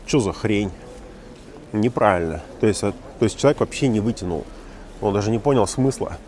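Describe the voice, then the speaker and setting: A man's voice: lowish voice, fairly quick. A man in his forties, an interpreter and English teacher, talking casually while walking outdoors at night. He rants in an emphatic, mocking way, recorded on a phone held at arm's length. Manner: animated, emphatic, mocking rant. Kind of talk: casual walking vlog commentary. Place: city street at night, outdoors. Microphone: phone at arm's length with wireless earbuds.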